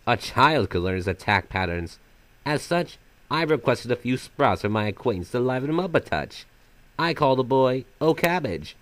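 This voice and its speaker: A man's voice: medium pitch, big pitch swings, normal speed, fairly bright tone, dry recording, low-quality recording. A man voicing a flamboyant magical trickster villain from a video game, half silly and half sinister, with florid, mock-polite theatrical delivery. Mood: taunting. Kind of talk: fan voice-over of video game villain lines.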